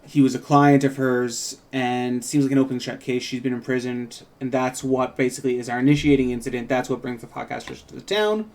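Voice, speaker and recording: A man's voice: mid-range voice, fairly quick, hardly any pauses, darkish tone. A man co-hosting a casual, unscripted talk about a script, rambling and hedging as he explains. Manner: rambling, hedging with frequent "like" and "kind of". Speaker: co-host and writer introducing a script read.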